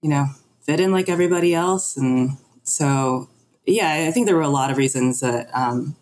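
A woman's voice: low voice, moderate pace, darkish tone, a little hiss or hum, low-quality recording. A woman speaking as a podcast guest, candid and self-reflective, acting out remarks she quotes as she talks. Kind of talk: candid personal conversation.

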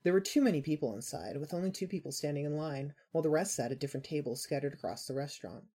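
Fiction podcast narrator: mellow, mid-pitched, a little husky, scripted storytelling read. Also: fast talker.

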